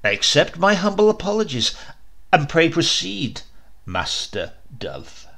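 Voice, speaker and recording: A stern young king from a storybook, impatient and used to being obeyed, played by a man. His voice drops low at phrase ends, gravelly and bright. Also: very animated, slow pace, heavily acted. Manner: commanding, clipped.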